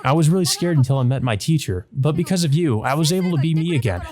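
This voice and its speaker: A man's voice: on the high side, expressive, fast, a little mellow, faint music behind, voice pushed up, natural voice. A man voicing a sad, grateful young boy in a visual novel, speaking earnestly and hesitantly. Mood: tearful, pleading.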